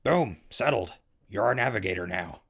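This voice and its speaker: Male actor as friendly wasteland ghoul broadcaster, low and gravelly, casual conversational delivery. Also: expressive, moderate pace, fairly bright tone, full character voice. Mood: surprised, teasing. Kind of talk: post-apocalyptic audio drama acting.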